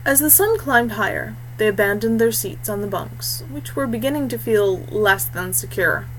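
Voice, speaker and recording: A woman's voice: mid-range voice, animated, normal speed, slight background noise, low-quality recording. A woman reading a scripted adventure story as narrator. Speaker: audiobook reader.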